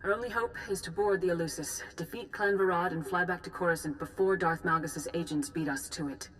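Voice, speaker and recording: A woman playing a grave, composed Jedi master in a video game, giving formal briefings with measured authority. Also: fairly low pitch, a bit bright, close to an everyday voice, poor audio. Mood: grave, urgent. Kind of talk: formal dramatic mission briefing.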